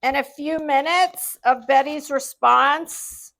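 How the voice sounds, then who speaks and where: A woman giving casual, off-the-cuff YouTube commentary in a sarcastic, condescending, halting and emphatic manner. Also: high-pitched, fairly even intonation, gravelly, fairly bright tone, slight background noise, poor audio.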